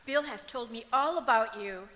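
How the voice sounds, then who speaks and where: A woman's voice: on the high side, moderate pace, crowd noise, close to an everyday voice. A woman playing a polite, gullible young fiancée in an old-time radio comedy performed on stage. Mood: polite, admiring. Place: stage of a performing arts auditorium. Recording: echoey auditorium with long reverb.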